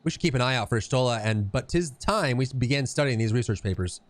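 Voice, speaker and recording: A man voicing an earnest, formal scholar from a fantasy game, reading the lines in an inquisitive, deliberate manner. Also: mid-range voice, lively intonation, dry recording, voice pushed down, close to an everyday voice. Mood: pondering.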